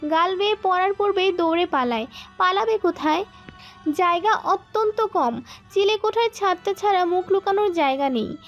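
A woman narrates a scripted romance in a very high, girlish-pitched voice. The sound is slightly dull and lo-fi, with soft music playing underneath. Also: normal speed. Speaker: story narrator.

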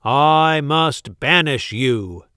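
A man's voice: on the high side, steady tone, very slow, clear, resonant tone, dry recording, studio quality, voice pushed up. Imperious, hot-tempered storybook Duke, acted out by a man. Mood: stern, commanding. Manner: roaring, commanding, emphatic. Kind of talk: storybook read-aloud character voice.

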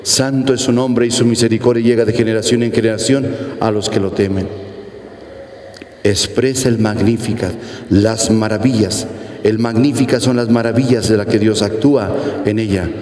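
A man's voice: lowish voice, steady tone, normal speed, a little mellow. A man in his forties with a Mexican Spanish accent, giving a homily at Mass, preaching earnestly and repeating phrases for emphasis. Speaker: priest. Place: large church sanctuary, at the pulpit. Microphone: pulpit mic, medium camera shot. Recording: echoey church with long reverb.

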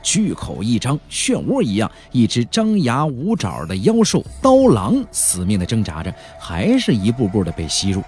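A man's voice: mid-range voice, huge pitch swings, moderate pace, few pauses, a little mellow. A man narrating a fantasy audiobook in a theatrical, emphatic style, drawing out key phrases for drama. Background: faint background music.

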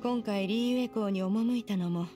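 A woman's voice: mid-range voice, moderate pace, close to an everyday voice. An actress playing a proud, stern immortal crane in human woman's form, speaking in a lofty, archaic, formal way in a scripted game story. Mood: solemn. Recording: loud game music behind the voice. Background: game music.

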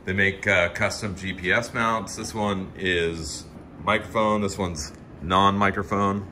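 A man's voice: low-pitched, fairly even intonation, unhurried, bright, forceful tone. Chatty man touring a noisy shop. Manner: chatty, enthusiastic, pointing things out. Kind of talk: casual walkthrough narration. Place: large indoor parts warehouse and workshop.

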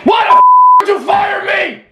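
A young man, vlogging in animated casual chat with a theatrical, excitable manner. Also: extremely high pitch, normal speed, lo-fi sound. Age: young adult. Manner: theatrical, excitable, bursts into shouting. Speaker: vlogger making prank calls. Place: small bare bedroom. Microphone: camera a little way off. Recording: roomy echo, music and chatter behind.

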